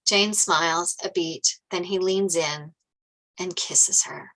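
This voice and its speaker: A woman's voice: on the low side, slow pace, fairly bright tone, low-quality recording. A woman reading screenplay stage directions aloud as scripted narration.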